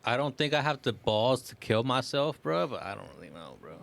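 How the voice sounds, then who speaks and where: A man's voice: mid-range voice, sing-song, brisk, gravelly, a bit bright. Slangy, emphatic man chatting. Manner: slangy, emphatic, addresses others as bro. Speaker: podcast co-host. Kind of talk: casual podcast chat.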